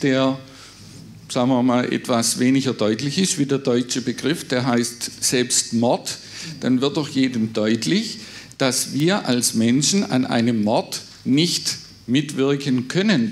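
Man commenting emphatically from the audience. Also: medium pitch. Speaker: audience member. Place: conference hall audience.

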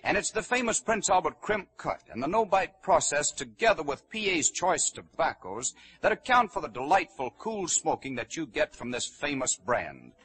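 A male announcer delivers a scripted commercial on an old 1930s radio broadcast. His voice is extremely husky and a little high, and his reading is polished and persuasive. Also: very animated, normal speed, hardly any pauses, fairly bright tone.

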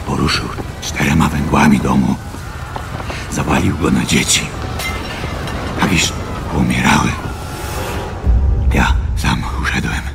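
Somber bible drama messenger, super deep, lots of gravel. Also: male voice, heavily acted. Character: grim messenger bearing news of death. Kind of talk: biblical radio drama acting. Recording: noisy ambience behind.

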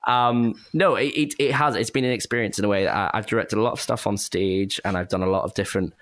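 A man speaks casually as an actor and director in a cast chat. His voice is fairly low, and he rambles and corrects himself. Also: quick pace, fairly bright tone, no room sound.

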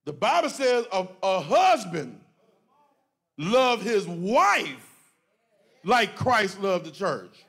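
A middle-aged man, a pastor talking to his congregation from a church stage into a handheld mic. He jokes and preaches in a lively way, often breaking into loud, emphatic exclamations. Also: high-pitched, slow pace, gravelly, long pauses, breathy edge. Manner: animated, joking, bursts into loud emphatic exclamations. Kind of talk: preachy conversational talk to a congregation.

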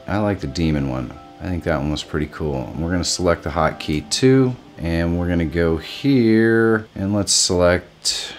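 A middle-aged man hosting a casual step-by-step software tutorial. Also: sits low. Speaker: tutorial host. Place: small home room, indoors.

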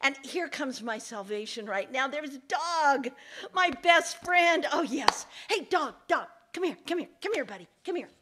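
A woman voicing an anxious, trusting man in an animated fable, pleading earnestly and coaxing for his life. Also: high-pitched, measured pace, a little hiss or hum.

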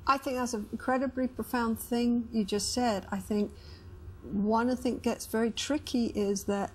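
A woman's voice: a little high, steady tone, moderate pace, a little hiss or hum. A middle-aged woman talking as a couples therapist, explaining things in a counselling style. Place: indoor studio set with sofas.

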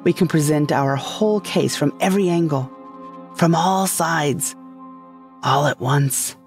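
Mid-pitched dramatic monologue narrator. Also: unhurried. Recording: faint music behind the voice.

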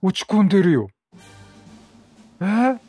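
A man giving casual, chatty game commentary, exclaiming and reacting out loud. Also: high-pitched, sing-song, slow pace, over music. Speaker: gaming commentator.

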